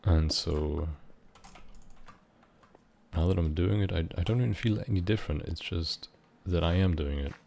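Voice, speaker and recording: A young man of about nineteen from Switzerland, rambling unscripted in a halting, meandering way while he plays a game, with casual swearing. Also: deep voice, creaky, darkish tone, a little hiss or hum. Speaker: gaming vlogger.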